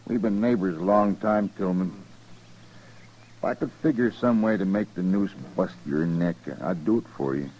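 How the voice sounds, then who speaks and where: An actor playing a matter-of-fact, grimly neighborly American rancher leading a lynching in a radio western, speaking in an unhurried folksy rural way. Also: male voice, low voice, dark tone, muffled recording, slight background noise, lightly acted. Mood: grimly calm. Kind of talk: 1950s radio western acting.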